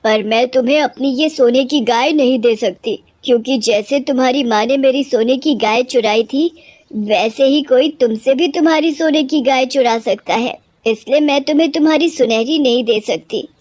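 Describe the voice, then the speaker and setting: A woman's voice: high voice, normal speed, a little mellow, no echo. A woman voicing a scary, bossy but fair witch in a cartoon story, with a menacing, scolding delivery. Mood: stern, relenting.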